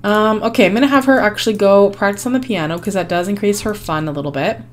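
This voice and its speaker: Hoarse woman chatting through a game. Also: mid-range voice, quick pace. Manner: chatty, rambling with frequent ums and small laughs. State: hoarse, losing her voice. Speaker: gaming channel host. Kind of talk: casual gameplay commentary.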